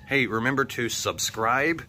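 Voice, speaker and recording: A man's voice: mid-range voice, measured pace. A man talking casually and ramblingly to his viewers as a vlogger, blunt, slangy and full of swearing. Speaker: vlogger hosting a channel.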